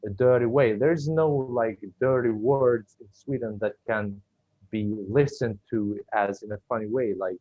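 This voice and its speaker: A man, a comedian, speaking hesitantly on a video call and filling his halting phrases with "like.". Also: medium pitch, dry recording. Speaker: comedian guest. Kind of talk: casual chat on video call. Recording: dry, dull lo-fi call audio.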